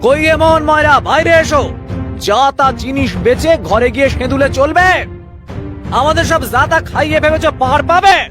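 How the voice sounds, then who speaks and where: Man acting an angry, very high-pitched villager. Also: moderate pace, over music. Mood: furious. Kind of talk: cartoon voice acting.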